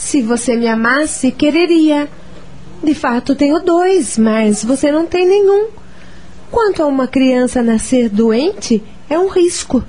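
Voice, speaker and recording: Touchy but loving mom in a radio drama, highish voice, direct and probing. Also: female voice, animated, a little slow, a little mellow. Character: hardworking, forthright mother, touchy but loving girlfriend.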